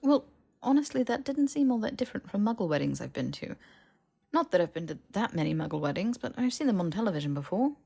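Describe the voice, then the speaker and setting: A woman's voice: mid-range voice, sing-song, quick pace, vocal fry, dark tone, slightly rough, voice pushed up, exaggerated voice. A woman voicing an evasive, casual young man in an audiobook, with a hesitant way of talking. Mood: puzzled, alarmed. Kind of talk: audiobook character dialogue.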